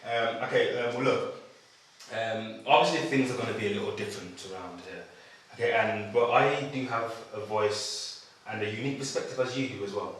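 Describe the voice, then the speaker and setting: A man's voice: fairly low pitch, a little fast, a bit bright, natural voice. A man playing an earnest, conciliatory pink elephant office executive, speaking hesitantly and reasonably at a script table read. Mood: earnest, diplomatic. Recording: very echoey room, distant mic, some noise.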